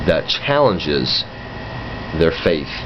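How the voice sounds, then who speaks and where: A man's voice: rumbling low, sing-song, very slow, lo-fi sound, noisy background. A man giving a casual, opinionated monologue, blunt and exasperated, swearing and acting out conversations as he goes. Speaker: vlogger.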